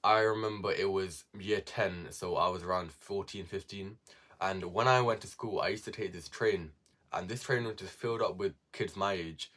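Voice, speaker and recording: Young guy, really low voice, chatting out self improvement tips, repeats words to drive them home. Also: normal speed, fairly bright tone. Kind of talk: casual advice talk to viewers.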